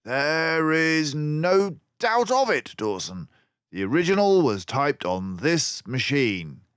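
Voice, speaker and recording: A man's voice: on the high side, sing-song, slow, a bit bright, clear, resonant tone, no echo, pitched above the performer's own voice, full character voice. A man voicing a clever, confident mouse detective from a storybook, with an emphatic, precise, lecturing manner. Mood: triumphant. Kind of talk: storybook character voice.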